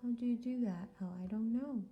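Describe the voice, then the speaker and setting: A woman's voice: a little high, fairly quick, dull audio, faint music behind. A woman chatting casually with children as a live storytime host, encouraging and full of questions.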